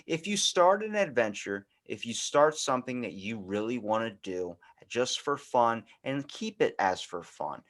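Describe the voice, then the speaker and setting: A man's voice: lowish voice, a bit bright. Young male podcast host. Casual, rambling, slangy talker who swears and voices both sides of an exchange. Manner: slangy, swearing, acts out quoted dialogue.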